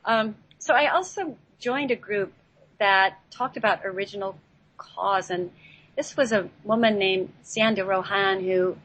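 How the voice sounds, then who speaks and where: A woman telling a personal story on a talk show in a halting, reflective way, picked up by a laptop microphone. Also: mid-range voice, fairly bright tone, dull audio. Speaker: guest telling their life story. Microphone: laptop built-in microphone, not headset. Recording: noisy, off-mic laptop microphone.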